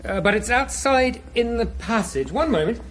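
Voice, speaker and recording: A man's voice: extremely high pitch, animated, moderate pace, a bit bright, a little hiss or hum. An actor playing a hesitant, deferential young male underling in a radio drama, speaking politely. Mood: quietly confident.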